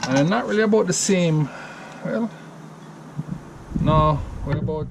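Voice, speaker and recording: A man's voice: on the high side, measured pace, vocal fry. Easygoing Jamaican man, noisy room. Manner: rambling, self-correcting, easygoing. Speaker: home cocktail vlogger. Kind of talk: casual step-by-step recipe demo.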